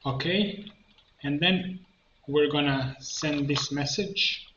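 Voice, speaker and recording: Slow, bright-voiced man in an echoey room. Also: on the high side. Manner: halting, instructional, narrating each click. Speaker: coach giving a software tutorial. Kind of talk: unscripted screen-share tutorial, thinking aloud step by step. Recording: muffled lo-fi, roomy echo, background noise.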